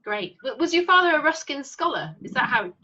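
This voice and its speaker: A woman's voice: high-pitched, big pitch swings, a little fast, bright, forceful tone. A woman asking informal interview questions, restarting them as she goes, on slightly lo-fi audio.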